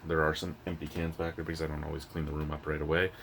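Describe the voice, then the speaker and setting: A man's voice: extremely low pitch, little pitch movement, racing, a bit bright. A man from Ontario with a Canadian accent giving a casual, rambling, opinionated beer review. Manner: rambling, opinionated, occasionally profane. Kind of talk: casual unscripted product review. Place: indoor room used for recording reviews. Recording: noisy room with clear background hum.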